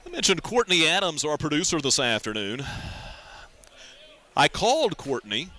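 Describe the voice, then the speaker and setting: Bright-voiced man calling baseball on radio. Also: on the high side, big pitch swings, normal speed. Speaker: radio play-by-play announcer. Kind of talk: live baseball radio commentary.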